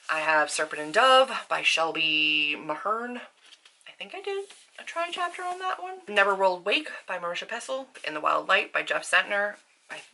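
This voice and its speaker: A woman speaks in a forward, bright voice that sits a little lower than average. She chats informally to her viewers like a seasoned vlogger, with slangy, self-deprecating asides. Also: slight background noise. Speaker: book vlogger. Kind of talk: casual chat to viewers.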